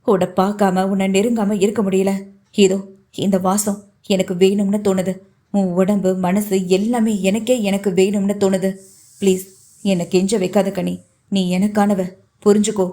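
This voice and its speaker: A woman's voice: mid-range voice, flat delivery, normal speed, mellow, pitched below the performer's own voice, close to an everyday voice. A woman reading an audiobook, voicing a hot-tempered young man who pleads and then roars. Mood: pleading. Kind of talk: audiobook character voice.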